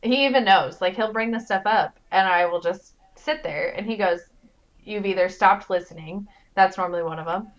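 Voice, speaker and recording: A young adult woman, a guest in casual chat, talking in an expressive, self-mocking way. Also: mid-range voice, normal speed. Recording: dull lo-fi mic.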